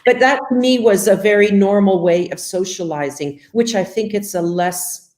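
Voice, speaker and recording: A woman's voice: medium pitch, moderate pace, darkish tone, roomy sound. A woman from Canada, the guest, talking casually with lots of fillers and acting out little exchanges. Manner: chatty, many fillers, acts out quoted exchanges. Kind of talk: casual interview chat.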